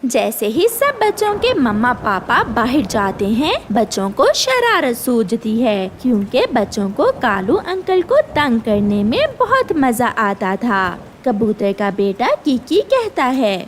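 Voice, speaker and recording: A woman's voice: fairly high pitch, very animated, slow, few pauses, slight background noise. A woman narrating a scripted children's story as its storyteller.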